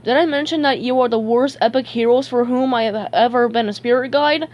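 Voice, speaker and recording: A woman's voice: on the high side, fairly quick, highs cut off, natural voice. A woman voicing an exasperated male cartoon spirit guide, blunt and straining to stay patient.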